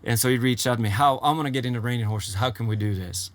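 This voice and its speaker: A man's voice: mid-range voice, fast, no echo. A man in his fifties from Sweden with a Swedish accent, a ranch owner answering interview questions in a casual, chatty way, often repeating himself. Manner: warm, repeats phrases, many fillers. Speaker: horse trainer and ranch owner.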